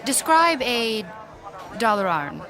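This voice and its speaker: A woman's voice: a little high, sing-song, a little slow, crowd noise. A woman playing an otherworldly visitor who speaks in a formal, over-precise, stilted way in an audio drama. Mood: stiff, curious. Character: stiff, literal, otherworldly female visitor studying human customs.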